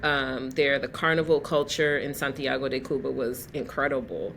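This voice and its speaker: A woman hosting a casual interview, pausing often with "um" as she gathers her thoughts. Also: rumbling low, fairly even intonation, creaky, slight background noise. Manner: warm-hearted, searching for words with many "um"s. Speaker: podcast host.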